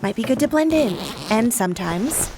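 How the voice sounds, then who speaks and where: A woman's voice: fairly high pitch, lively intonation, moderate pace, background noise. A woman playing a bubbly, girly young squad member in a sci-fi audio drama, upbeat and earnest. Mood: chipper, hopeful.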